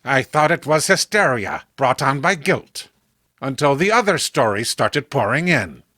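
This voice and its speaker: Firm veteran police chief, acted by a man, bright forceful voice, storytelling delivery, dry recording. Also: mid-range voice, very animated, measured pace, dry recording, pitched below the performer's own voice, exaggerated voice. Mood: sceptical. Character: authoritative veteran police commissioner recounting a strange case. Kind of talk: dramatic storytelling, horror comic voice acting.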